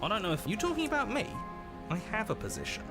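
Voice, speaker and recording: Scheming male opportunist for a game: slick, cynical, very lively swooping pitch over loud music. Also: fast talker, heavily acted. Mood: self-justifying. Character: cynical, self-serving, scheming opportunist man. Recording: music behind the voice.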